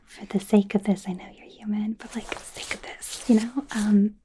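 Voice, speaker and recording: A woman playing a friendly, awkward young werewolf doctor in an ASMR roleplay, speaking in a soft, breathy whisper with hesitant, casual rambling. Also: medium pitch, flat delivery, normal speed, noisy background, lightly acted. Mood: casual, explaining.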